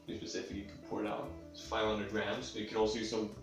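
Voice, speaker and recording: A man's voice: on the low side, completely flat delivery, quick pace. Rambling sniffly young man in echoey kitchen. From Sweden. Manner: rambling, offhand, swears casually. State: sniffly with a cold. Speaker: home cooking video host. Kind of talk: casual cooking demonstration. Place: home kitchen. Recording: echoey room, mic at a distance, faint music.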